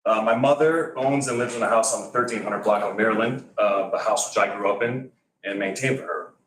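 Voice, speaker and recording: A man in his forties, born in Greece, reads his public comment with a firm, wry edge. His voice sits lowish. It comes from a podium mic some distance off, in a council chamber that echoes. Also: fairly quick, a bit bright, a little hiss or hum. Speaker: resident giving public comment.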